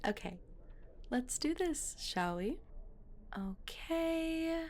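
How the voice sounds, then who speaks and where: Woman doing a patient midwife, talks slow, a bit high pitched, gentle and professional, audio roleplay. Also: expressive, full character voice. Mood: gentle, reassuring. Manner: gentle, explanatory. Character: kind, reassuring hospital midwife, professional and patient.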